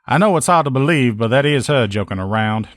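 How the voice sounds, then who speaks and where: A man playing a folksy, lower-pitched veteran lieutenant. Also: lively intonation, a little fast, fairly bright tone, no room sound, studio quality, close to an everyday voice. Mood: easygoing, reassuring. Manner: folksy, slangy, talkative. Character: gruff-talking, good-humored veteran lieutenant and power armor mechanic. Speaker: video game character voice actor. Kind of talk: video game dialogue lines.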